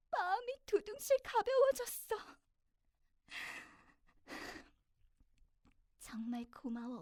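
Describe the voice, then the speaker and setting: A woman voicing a wounded teenage villain girl in an anime, bittersweet and sincere, her halting, emotional delivery often breathy and dropping toward a whisper. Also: on the high side, a little mellow, no echo, studio quality. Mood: tearful, grateful. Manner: halting, emotional, swinging from intense to tender. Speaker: voice actor recording a sample. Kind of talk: emotional anime voice acting with inner narration.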